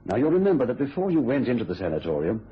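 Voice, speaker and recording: An actor playing a firm, paternal family doctor in a radio thriller, questioning with authority. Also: male voice, mid-range voice, big pitch swings, racing, breathy edge. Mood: probing, reassuring. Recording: dull lo-fi radio recording.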